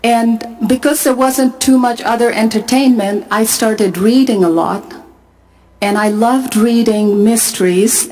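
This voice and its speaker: A middle-aged woman from Pakistan, speaking at a conference and telling her own story in a measured, earnest way with deliberate pauses. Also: mid-range voice, darkish tone, a little hiss or hum, low-quality recording. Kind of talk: testimony talk to a conference audience. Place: large arena.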